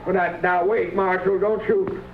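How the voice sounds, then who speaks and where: A man's voice: high-pitched, flat delivery, a little slow, gravelly. A man playing a middle-aged homesteader father with a rural American accent, folksy and drawling, in a radio western. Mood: alarmed. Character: folksy, hospitable homesteader father hiding guilt. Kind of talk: 1950s radio western acting. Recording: muffled, noisy old radio recording.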